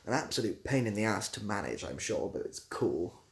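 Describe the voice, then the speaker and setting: Low-voiced man chatting casually on a livestream. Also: sing-song, a little fast. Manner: rambling, informal, hesitant searching for words. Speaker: ASMR creator hosting a livestream; theatre assistant producer. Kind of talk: casual livestream chat, answering viewer comments.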